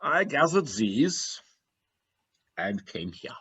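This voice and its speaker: A man's voice: mid-range voice, very animated, slow, bright, forceful tone, husky, no room sound, exaggerated voice. A man acting a bleak, callous woodcutter father from a fairy tale, in a dramatic, confessional stage-play style. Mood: bleak resignation.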